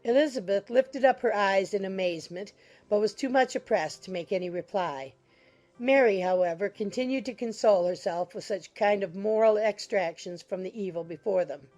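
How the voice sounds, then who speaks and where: A woman narrates a classic novel in a dark, mellow voice of middle pitch. Her delivery is scripted audiobook reading, with faint music behind it. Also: moderate pace.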